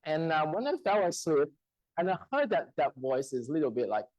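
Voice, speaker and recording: A man from China in his thirties, whose first language is a Chinese dialect, telling a personal testimony in church in a halting, storytelling way with frequent laughter. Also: fairly high pitch, big pitch swings, darkish tone, dry recording, highs cut off. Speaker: church speaker. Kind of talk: testimony sermon. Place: church hall.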